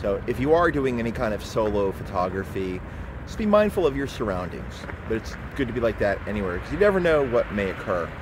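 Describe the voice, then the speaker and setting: A middle-aged man talking to a handheld camera at arm's length as he walks a city street, in a relaxed, rambling way. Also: on the low side, brisk. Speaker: photography vlogger. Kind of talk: casual walking vlog. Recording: outdoor street noise.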